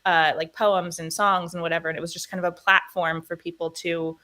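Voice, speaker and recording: A woman's voice: lowish voice, level tone, brisk, bright, forceful tone. A woman in her thirties chatting in an interview as a guest, talking at length and laughing often. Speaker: guest, actor and producer. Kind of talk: casual interview chat.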